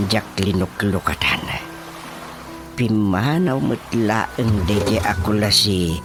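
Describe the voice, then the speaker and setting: An actor with an Ilocano accent playing a flustered, excitable older village chairman in a comic radio drama, stammering and muttering to himself. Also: on the low side, slow. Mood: flustered, eager. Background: prominent music.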